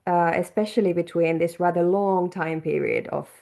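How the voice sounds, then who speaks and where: A woman hosting a webinar, calmly putting prepared questions to a guest. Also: fairly low pitch, normal speed, creaky. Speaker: webinar host. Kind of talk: interview questions. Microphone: video call.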